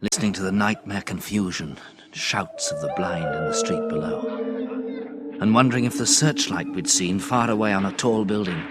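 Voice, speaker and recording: An actor playing a cautious, pragmatic survivor of a catastrophe in a radio drama, speaking firmly and matter-of-factly. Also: male voice, low-pitched, moderate pace, loud music behind the voice, natural voice. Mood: reflective. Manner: matter-of-fact, firm. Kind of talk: radio drama acting and narration.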